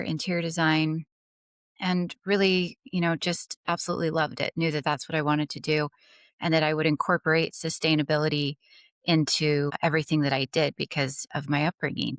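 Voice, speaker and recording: Woman, 40s, interview guest, low voice, dry sound, earnest and fluent. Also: fairly bright tone, no echo. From Dubai. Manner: earnest, fluent storytelling with occasional restarts. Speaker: podcast guest. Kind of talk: conversational interview answers.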